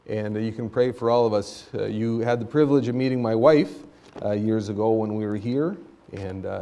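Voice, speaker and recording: A middle-aged man who grew up speaking Spanish at home, giving an earnest, conversational missions talk from a church pulpit. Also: dark tone. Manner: earnest, conversational, rises in pitch when stressing a point. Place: church sanctuary stage at a pulpit.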